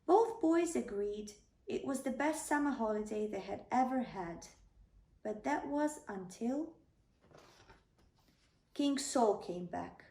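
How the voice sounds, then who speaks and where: A woman's voice: on the high side, lively intonation, slow, long pauses, mellow. A woman narrating a children's bedtime story, reading aloud as a storyteller. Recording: roomy, slightly distant microphone.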